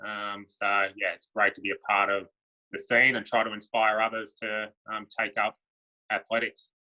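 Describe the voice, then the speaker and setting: A man's voice: on the low side, normal speed. An Australian man, a para athletics coach, talking casually and with lots of filler words about his squad. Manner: relaxed, many um fillers. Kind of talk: casual panel Q&A. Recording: dull lo-fi sound.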